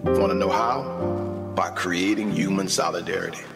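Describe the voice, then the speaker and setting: A man's voice: low-pitched, fairly even intonation, moderate pace, creaky, over loud music. A man reading a promotional announcement in an emphatic, rallying tone. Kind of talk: promotional ad read.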